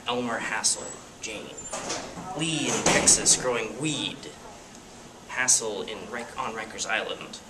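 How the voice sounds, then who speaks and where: Man reading aloud from far off. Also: fairly low pitch. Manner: plain, unpolished reading with stumbles. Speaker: student reader. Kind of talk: amateur dramatic reading of a novel. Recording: distant mic with loud background noise.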